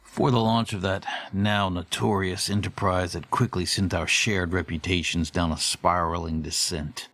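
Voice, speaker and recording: A man playing a formal, wordy middle-aged storyteller, giving a measured, ornate monologue in an audio horror drama. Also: low voice, a bit bright. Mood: confiding, grave. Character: formal, verbose middle-aged man recalling haunting courier days.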